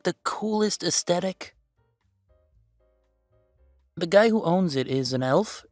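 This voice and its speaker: A man's voice: a little high, no room sound, clean studio sound. A man playing a playful, easygoing young werewolf boyfriend in a casual roleplay audio, chatting in a teasing, emphatic way. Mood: warm, chatty.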